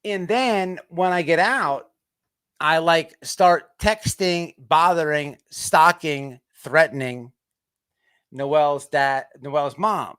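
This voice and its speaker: A man hosting an online show with off-the-cuff livestream commentary, halting as he repeats words and restarts. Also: a little high, a bit bright, smooth.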